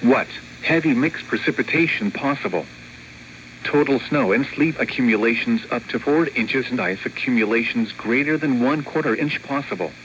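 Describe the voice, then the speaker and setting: A synthetic man's voice reads a scripted weather alert over the radio in a formal, measured, impersonal way. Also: mid-range voice, fairly bright tone. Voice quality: synthetic text-to-speech voice. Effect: radio broadcast. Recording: dull lo-fi weather radio, clear static noise.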